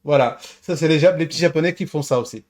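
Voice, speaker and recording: A man hosting a casual live show, speaking French in a halting way with many repeats and restarts. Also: on the high side, a little mellow. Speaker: show host.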